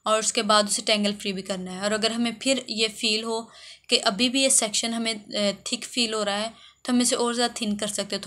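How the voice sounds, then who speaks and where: A woman's voice: medium pitch, bright, forceful tone. A woman hairdresser narrating a how-to video, explaining step by step and often repeating herself. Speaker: hairdresser giving a tutorial. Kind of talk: instructional voice-over for a how-to video.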